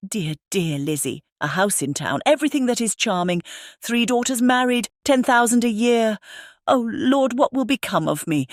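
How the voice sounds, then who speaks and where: A woman voicing an excitable, fussy middle-aged mother in a classic novel audiobook, with effusive exclamations. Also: fairly high pitch, no echo, studio quality, pitched above the performer's own voice, natural voice. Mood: overwhelmed, giddy.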